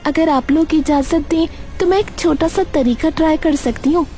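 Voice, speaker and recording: Woman playing an eager, high-voiced young daughter-in-law. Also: normal speed, mellow, soft background music, lightly acted. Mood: modest, eager. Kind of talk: cartoon story character voice.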